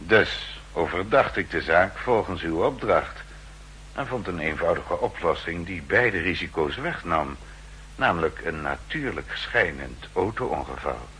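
A low, incisive male voice for a coolly ruthless manservant in a 1960s radio spy drama. He is formally polite and quietly insistent. The old recording carries faint hum and noise. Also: lively intonation, a little slow, exaggerated voice. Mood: pointedly insistent. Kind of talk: 1960s radio play acting.

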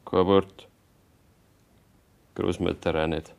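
An actor playing a defiant, bitter young farmer's son with a Bavarian accent in a German radio drama, terse and stubborn. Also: male voice, sits low, little pitch movement, slow, creaky, a little mellow, full character voice. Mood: subdued, sullen.

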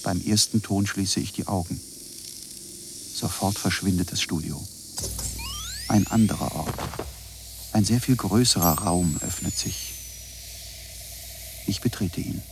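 A man playing a reflective narrator in a scripted radio drama, speaking in measured phrases. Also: deep voice, background noise. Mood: quiet, concentrated. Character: reflective male narrator reciting essays and studio notes.

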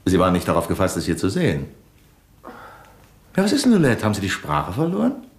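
An actor playing a shrewd, impatient police commissioner interrogating a suspect in a 1970s radio crime play. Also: male voice, low-pitched, wildly swooping pitch, fast, heavily acted. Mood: stern. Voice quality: sharp, interrogating, impatient outbursts.

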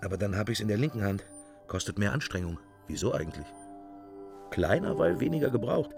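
A man's voice: on the low side, lively intonation, fairly quick, creaky, music in the background. A man acting a dithering fellow muttering his thoughts to himself in fragments in a radio drama. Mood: rueful musing. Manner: muttering, hesitant, fragmentary inner monologue.

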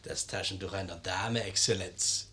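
Obliging innkeeper, servile, slyly sympathetic, sharp bright voice, 1950s radio drama. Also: male voice, low-pitched, fairly even intonation, normal speed. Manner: servile, eager to please. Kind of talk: 1950s German radio play acting.

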